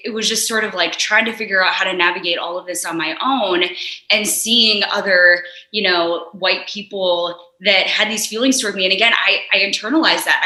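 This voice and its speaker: A woman's voice: medium pitch, pushed and bright, reverberant, rough recording. A young adult woman speaking earnestly and reflectively as a guest in a conversation, with frequent fillers. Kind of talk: candid conversational discussion.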